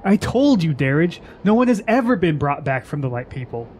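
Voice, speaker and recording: An actor playing a proud, stubborn warrior in a fantasy radio drama, speaking in a brusque, challenging way. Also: male voice, fairly high pitch, big pitch swings, fairly quick, darkish tone, slight background noise. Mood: scornful, incredulous.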